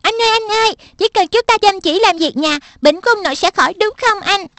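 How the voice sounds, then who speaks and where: A woman's voice: extremely high pitch, quick pace, fairly bright tone, no echo. A woman voicing an eager, innocent little child in a cartoon, talking in a questioning way. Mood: eager, hopeful.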